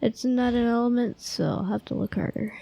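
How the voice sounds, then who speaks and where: Tired, husky woman gamer. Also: on the high side, fairly quick, warm tone, highs cut off. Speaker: gamer commenting on her playthrough. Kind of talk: casual game commentary.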